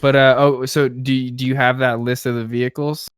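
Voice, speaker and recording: A man's voice: mid-range voice, little pitch movement, normal speed, clear, resonant tone, dry recording, clean studio sound. A man live streaming and chatting casually in an informal, rambling manner. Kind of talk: casual chat.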